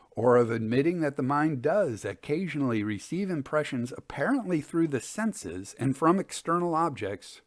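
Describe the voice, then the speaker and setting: A man narrating scripted stories as a storyteller and host, with a measured, formal delivery. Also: mid-range voice, no room sound, studio quality. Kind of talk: scripted narration of old ghost stories with commentary.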